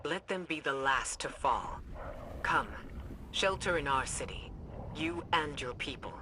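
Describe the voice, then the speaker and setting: A compassionate, steady leader from a video game. She sounds grave and resolute. Noisy ambience surrounds her. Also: low voice, measured pace. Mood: solemn, resolute. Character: composed, compassionate female commander offering shelter.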